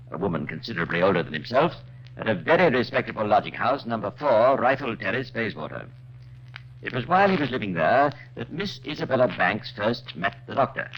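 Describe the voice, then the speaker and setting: A man's voice: fairly low pitch, very animated, a little fast. A man narrating as a wry, urbane true-crime storyteller in 1950s radio drama style, dry and conversational. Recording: muffled, noisy old radio recording.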